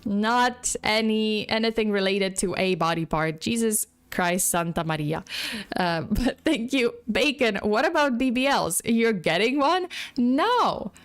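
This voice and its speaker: A woman's voice: on the high side, expressive, a little slow. Chatty woman streamer losing her voice. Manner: chatty, rambling, greets viewers often. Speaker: livestream host. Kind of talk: casual livestream chat.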